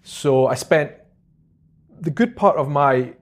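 A young man with a Scottish Edinburgh accent, a guest in an interview, talking about his past in a relaxed, halting way with many pauses and restarts. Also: fairly high pitch. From Scotland. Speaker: guest, vlogger. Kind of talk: casual interview chat.

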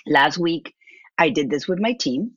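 A woman with an Argentine accent talks through her work as a guest, often repeating and restarting words as she thinks. Her voice sits low. The sound is dry and a little muffled, like a remote call. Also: lively intonation, unhurried, no echo. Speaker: business owner, guest. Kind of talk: interview answers.

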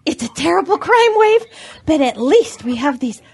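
A woman's voice: sits high, sing-song, moderate pace, creaky, a little mellow, heavily acted. A woman voicing a vain, chatty hen in a comic audio drama, with a theatrical, exclamatory manner. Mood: pleased, chatty.

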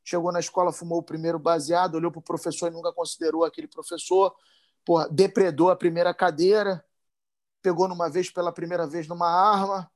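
Carioca man, fairly high voice, impassioned speaker, slangy and emphatic. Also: little pitch movement, fairly quick. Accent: Carioca Portuguese. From Brazil. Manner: emphatic, rising and speeding up when worked up, slangy asides. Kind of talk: impassioned talk.

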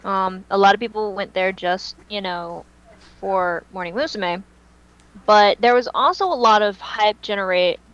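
A woman's voice: medium pitch, a little slow, a bit bright. A woman chatting casually as a podcast panelist, explaining her points with emphasis, on dull lo-fi audio. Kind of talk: casual fan chat.

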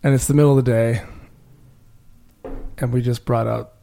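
A podcast host talking in a loose, rambling way, prone to giggles. The voice sits at an ordinary middle pitch, and the host sounds mildly high. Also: steady tone, normal speed, creaky, warm tone. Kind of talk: casual chat.

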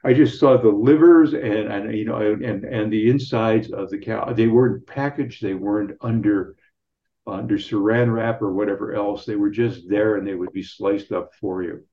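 An older man speaking as an interview guest, explaining and recounting in a conversational, halting way with repeated words. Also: fairly low pitch, fairly even intonation. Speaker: guest local historian. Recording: slightly dull, lo-fi sound.

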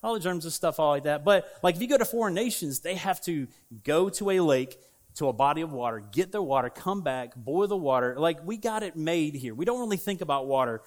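A man with a Southern American accent preaches in a church, his voice sitting a little higher than most men's. He talks to the congregation in a relaxed, conversational way, full of fillers and asides. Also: a little fast. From United States. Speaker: pastor. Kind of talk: sermon. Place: church sanctuary.

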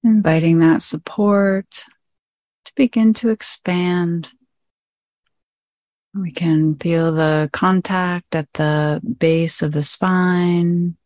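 A woman guides a meditation in a slightly low voice. She speaks very slowly, in short, gently inviting phrases separated by long pauses. The recording is dry and close, with no room echo. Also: steady tone. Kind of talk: guided meditation, slow spoken instruction.

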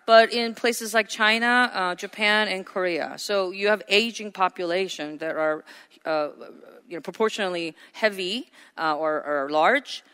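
A woman's voice: mid-range voice, measured pace, a bit bright, smooth. A woman pastor preaching a sermon, earnest and asking her congregation questions. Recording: hall reverb.

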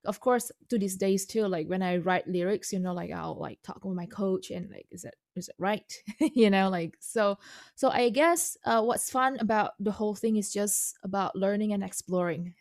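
Female composer guest, Indonesian native speaker, mid pitch, halting, dry recording. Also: a little mellow, no room sound. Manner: halting, many fillers and restarts, laughs often. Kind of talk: casual interview.

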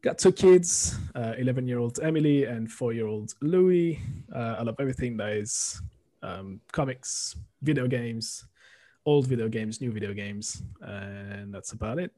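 A man of ordinary pitch co-hosts a casual podcast. He talks in a loose, rambling way, full of ums and little laughs. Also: expressive, measured pace, mellow. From half French. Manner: laid-back, rambling with many ums and small laughs. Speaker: podcast co-host.

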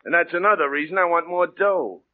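A man's voice: fairly high pitch, a little fast, close to an everyday voice. An actor in a 1940s radio crime drama playing a greedy, blunt hired killer who talks in tough-guy slang. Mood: demanding, aggrieved. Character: hard-boiled greedy crook, a hired killer demanding more money. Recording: old tinny narrow-band radio recording.

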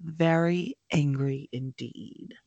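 A woman reading aloud and voicing a cold, controlled older male wizard who speaks flatly and quietly. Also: sits low, creaky, no echo, dull audio, pitched below the performer's own voice, full character voice. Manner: flat, quiet, measured delivery.

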